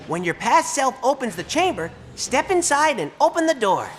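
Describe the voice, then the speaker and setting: An actor playing a small, earnest robot helper in a game, giving courteous step-by-step instructions. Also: male voice, very high-pitched, big pitch swings, normal speed, a bit bright, soft background music, heavily acted. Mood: patient instruction. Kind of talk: game tutorial acting.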